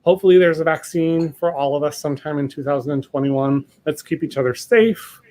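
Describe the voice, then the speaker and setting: A man's voice: on the high side, a little fast. A man hosting a casual live cooking demo, rambling with lots of fillers and restarts, in a home kitchen. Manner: says he has a loud voice. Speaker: cooking show host.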